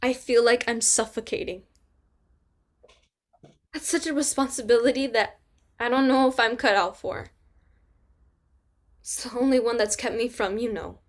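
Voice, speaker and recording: An actress reading the part of a grieving young pregnant woman, speaking haltingly and dropping to near whispers. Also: fairly high pitch, close to an everyday voice. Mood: hopeless. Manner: halting, trailing into quiet, near-whispered confessions. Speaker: actor in a staged play reading. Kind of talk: staged play reading.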